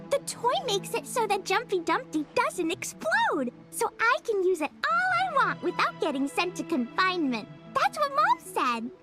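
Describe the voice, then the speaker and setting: A woman voicing a cheerful, innocent little girl in a video game, with a childlike, excitable delivery. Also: very high voice. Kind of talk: scripted video game voice acting. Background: loud playful game music.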